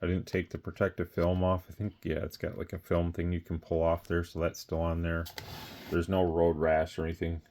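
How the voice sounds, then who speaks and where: A man with a deep voice talks off the cuff, like a small seller showing an item. His delivery stays quite flat and plainspoken. He rambles a little, qualifying his points as he goes. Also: brisk, a little hiss or hum. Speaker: radio seller presenting a product. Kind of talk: casual off-the-cuff product talk.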